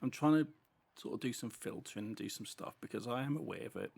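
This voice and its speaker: Very gravelly, husky British man. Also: mid-range voice, quick pace, darkish tone. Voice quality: heavy sibilant S sounds. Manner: chatty running commentary while driving. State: voice going hoarse from a cold. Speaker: gaming channel host. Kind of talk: casual racing game commentary.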